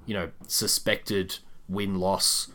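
A man's voice: low voice, level tone, slow pace, bright, forceful tone. A man co-hosting a sports podcast, chatting casually about basketball with enthusiastic, opinionated delivery and casual swearing.

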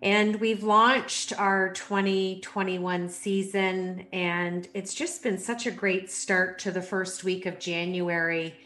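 A woman hosts a leadership podcast, speaking slowly and with deliberate care. She introduces her guest enthusiastically and asks questions in a measured, even way. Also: mid-range voice. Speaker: podcast host. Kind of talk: interview hosting.

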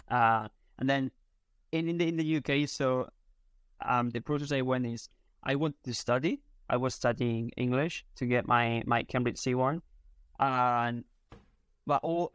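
A man's voice: medium pitch, smooth, no echo. A man from Spain with a Spanish accent, a native Spanish speaker talking casually in English as an interview guest, often searching for his words. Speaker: interview guest, content creator. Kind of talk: casual conversation.

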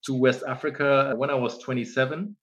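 A man's voice: mid-range voice, fairly quick, lo-fi sound. A man in his forties from Germany, chatting casually as a guest in an interview, often saying "you know". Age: 40s. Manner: relaxed, rambling, many "you know" fillers. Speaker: founder, guest.

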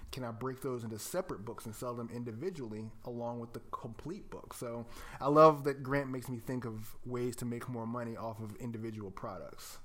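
A man's voice: medium pitch, a bit bright. A man co-hosting a podcast, chatting casually with enthusiasm and emphasis as he gives recommendations. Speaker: podcast co-host.

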